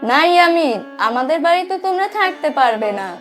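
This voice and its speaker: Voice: very high voice, expressive, a little fast, music in the background. A performer voicing a curt, unwelcoming villager in a children's cartoon. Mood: dismissive.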